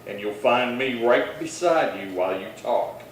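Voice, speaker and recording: Echoey, steady Old West marshal played by a man. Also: medium pitch, a little slow, lightly acted. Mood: calm, firm. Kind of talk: old-time western radio acting. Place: little theatre stage, distant mic. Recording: very echoey hall, far from mic, faint noise.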